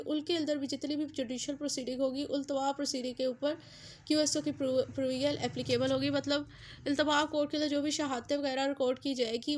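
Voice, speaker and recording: A woman with a Pakistani accent and a high-pitched voice teaches in an explanatory lecture style. She drops English terms into her explanations and restates key points so that they stick. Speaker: law lecturer. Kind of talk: explanatory exam-prep law lecture.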